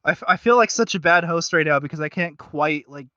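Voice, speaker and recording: A man with a slightly high voice chats casually as a podcast host. He gropes for his point in a halting, self-deprecating ramble. The sound is very dry and a little dull. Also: pushed and bright, no room sound. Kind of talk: casual review chat.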